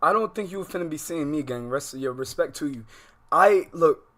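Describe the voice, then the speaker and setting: A man chatting casually as a livestream host, animated, with slangy, profane speech and rambling unfinished thoughts. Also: fairly high pitch, moderate pace. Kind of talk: casual livestream chat, reacting and addressing viewers.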